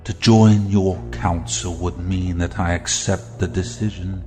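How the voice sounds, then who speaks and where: A low, slow voice fills a long echoing hall with music beneath. It is a calculating, bitter prince acted by a man, measuring out each phrase with theatrical command in an audio drama. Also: fairly even intonation, darkish tone. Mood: bitter, defiant. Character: scheming, embittered royal elder brother, silky and calculating.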